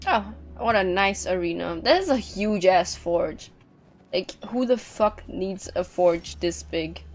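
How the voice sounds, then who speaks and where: A woman's voice: medium pitch, animated, slow, faint music behind. A woman giving casual live commentary as a game streamer, wry and blunt.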